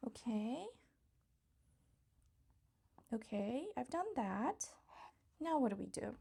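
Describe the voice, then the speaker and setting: Female children's read-aloud host: high, swooping delivery, unhurried, encouraging, talks straight to little listeners with a touch of husky fry. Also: lively intonation, warm tone. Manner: encouraging, coaxing, addressing small children directly; playful, exclamatory reactions. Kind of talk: interactive children's picture book read-aloud.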